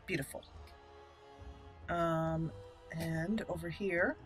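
A woman hosting a casual let's play, chatty and expressive as she talks to the game characters. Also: deep voice, slow. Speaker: gaming channel host. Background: game music.

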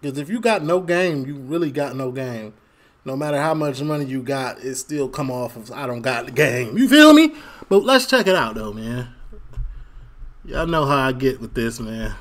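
Animated young Chicago man reacting. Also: medium pitch, moderate pace. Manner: animated, slangy, laughs often. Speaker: reaction video host. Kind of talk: casual reaction commentary. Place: indoor room at a desk.

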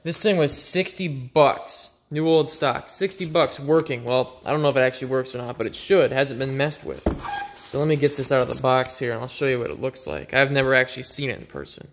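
A man with a bright, forward voice rambles casually through an off-the-cuff vlog commentary. He sounds laid-back and unscripted, at a middling pitch. The handheld phone recording in a garage carries clear background noise. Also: a little fast. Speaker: vlogger.

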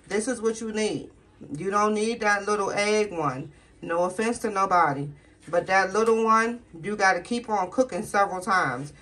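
A woman's voice: mid-range voice, fairly bright tone, rough recording. A woman from the chatting casually to her viewers in a home kitchen, filming on her phone, folksy and talkative. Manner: chatty, folksy, talks straight to viewers as y'all. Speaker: home cooking vlogger. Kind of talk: casual cooking video chat to viewers.

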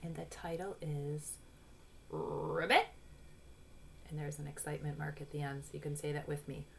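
A woman in her forties reading a picture book aloud to young children, pausing to explain in an instructive way. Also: sits low, little pitch movement, gravelly, a bit bright. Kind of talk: storybook read-aloud with explanatory asides. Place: small room at home. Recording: noisy home webcam recording.

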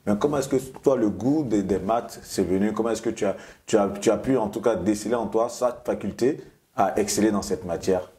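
A man hosts a sit-down interview. His voice sits low, and he asks his questions in a friendly, encouraging way. He is recorded on a lapel mic in a bright studio room. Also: a little fast. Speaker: interview host. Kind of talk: talk show interviewing.

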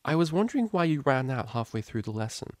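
A man's voice: mid-range voice, very animated, fast talker, darkish tone, no room sound, pitched above the performer's own voice, lightly acted. A prim young pony cellist from a storybook, played by a man, formal and a little awkward. She speaks politely and hesitantly in a dry recording.

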